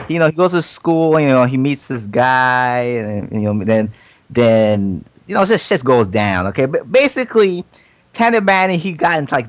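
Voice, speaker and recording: A man chatting casually on an anime podcast, rambling through his opinions in slangy, profane, halting speech full of repetition. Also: mid-range voice, dry recording.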